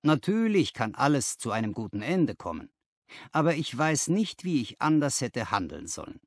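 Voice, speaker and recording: A man's voice: medium pitch, big pitch swings, moderate pace, dry recording, studio quality. A man voicing a frank, rueful young London clerk telling his story colloquially in an audiobook.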